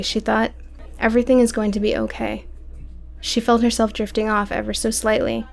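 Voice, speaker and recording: A woman's voice: mid-range voice, a little mellow, slight background noise. A woman narrating an audiobook story in a scripted, dramatic storytelling style.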